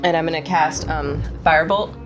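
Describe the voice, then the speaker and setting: A woman with a slightly low voice plays a tabletop fantasy game, casually describing her character's moves. Music runs clearly underneath. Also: steady tone, moderate pace. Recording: loud cinematic music underneath.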